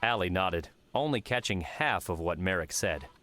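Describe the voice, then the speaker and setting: A man's voice: deep voice, animated, normal speed, bright, forceful tone, soft background music. A man narrating an audiobook, reading scripted fiction.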